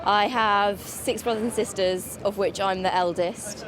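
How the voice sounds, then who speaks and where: A woman's voice: medium pitch, fairly even intonation, unhurried, a crowd in the background. A woman giving casual answers to an interviewer outdoors in a city. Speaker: street interviewee. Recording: clear outdoor urban traffic noise.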